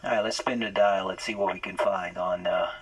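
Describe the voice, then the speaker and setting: A man's voice: sits low, fairly even intonation, fairly quick. A man giving casual hobby commentary as host of a radio demonstration, with static and radio chatter behind him. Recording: noisy room with radio static.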